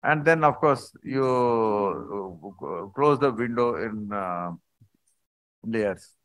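A man, a surgeon, explaining surgical video clips in a halting conference talk over a video call. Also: fairly low pitch, lively intonation, a bit bright, dry recording. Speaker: surgeon presenting cases.

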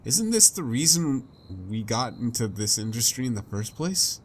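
A man's voice: medium pitch, big pitch swings, moderate pace, a little hiss or hum, natural voice. A man voicing a stubborn, principled young female reporter in a visual novel game, firm and insistent. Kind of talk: reading visual novel dialogue aloud. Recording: game music behind.

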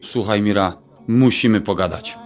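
An actor playing a determined, teasing Polish-American groom in an audio drama, emphatic and slipping into English slang. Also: male voice, fairly low pitch, moderate pace, lightly acted. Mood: casual, earnest.

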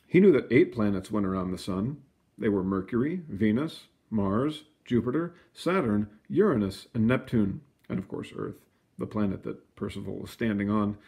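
A man reads a picture book aloud for children. His pitch moves freely, with a gravelly creak, and he explains things in an expressive, casual way. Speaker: storybook reader.

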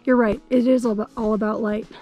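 A young woman talks in a fairly high voice. She is a travel vlogger, informal and full of enthusiasm, chatting into a handheld camera. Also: steady tone, fast, lo-fi sound, over music. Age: young adult. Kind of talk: casual travel vlog chat. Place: handheld vlog camera, mostly outdoors, also car and hotel room. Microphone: camera at arm's length.

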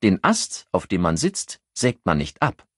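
A man voicing a guarded circus artiste woman under questioning, calm, evasive and mocking, in an audiobook crime story. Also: deep voice, measured pace, slightly rough, no echo, clean studio sound, heavily acted.

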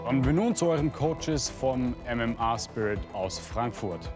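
A man's voice: mid-range voice, lively intonation, normal speed, music in the background. Formal male announcer. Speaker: host.

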